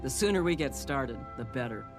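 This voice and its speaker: An actor playing a confident male assassin of a secret order in a fantasy video game, deliberate and coolly eager. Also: mid-range voice, expressive, natural voice. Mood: confident, approving. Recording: prominent music behind the voice.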